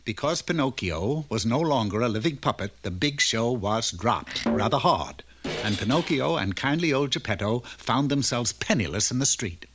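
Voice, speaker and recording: Male narrator, comic fairy-tale storytelling, expressive, lively intonation, theatrical, noisy recording. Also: medium pitch, normal speed.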